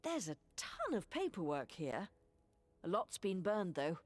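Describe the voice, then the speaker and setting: A woman playing a sharp-witted, skeptical adventurer in a fantasy video game, dry and observant. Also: on the low side, very animated, a little slow, no echo.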